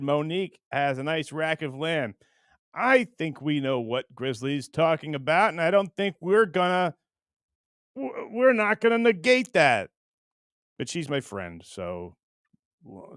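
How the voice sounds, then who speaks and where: A middle-aged man hosting a livestream with casual, rambling chat, blunt and crude in his joking, going between muttering and loud bursts. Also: fairly high pitch, a little slow, dry recording, studio quality. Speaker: livestream show host.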